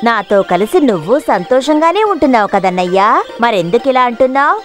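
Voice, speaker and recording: A woman's voice: on the high side, lively intonation, a little fast, soft background music, close to an everyday voice. A woman voicing a playful, mischievous little boy who teases and coaxes in a children's cartoon. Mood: playful, teasing. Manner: teasing, cajoling. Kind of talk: animated children's cartoon acting.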